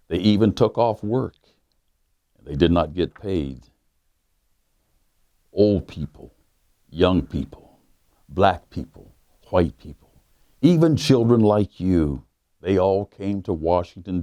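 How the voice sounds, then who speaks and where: A man, a pastor, reading a children's book aloud in a measured, emphatic way with dramatic pauses. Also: sits low, dark tone, no echo. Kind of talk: storybook read-aloud for children.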